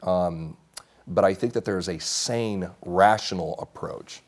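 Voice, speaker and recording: A man's voice: low voice, takes it slowly. A man in his thirties who grew up in Mexico, giving earnest, assertive answers as a candidate in a TV studio interview, often restarting his sentences. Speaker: congressional candidate. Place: small TV studio set.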